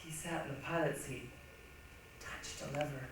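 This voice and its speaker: A man's voice: fairly high pitch, steady tone, normal speed, crowd noise. A man giving dramatic scripted narration in a readers' theatre style. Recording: echoey hall, distant mic, faint noise.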